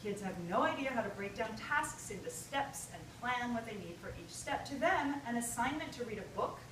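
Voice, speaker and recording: A high-voiced woman reads a humorous essay at a live event, emphatic and theatrical. Her pitch swings widely, and she is recorded at a distance with strong echo. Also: expressive. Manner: theatrical, emphatic, with deliberate pauses. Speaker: writer reading own essay. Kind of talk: humorous personal essay read to an audience. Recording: echoey, distant live recording with loud noise.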